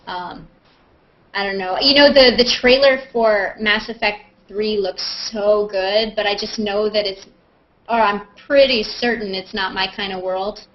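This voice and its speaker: A woman chatting casually and playfully as she hosts a live question-and-answer video call. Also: medium pitch. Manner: playful, joking, self-mocking. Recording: muffled, lo-fi internet video call.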